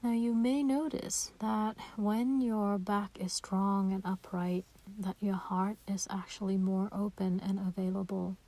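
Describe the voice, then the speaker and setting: A woman leading a guided meditation in a soft, breathy voice, gentle and soothing, with unhurried pauses. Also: medium pitch, steady tone.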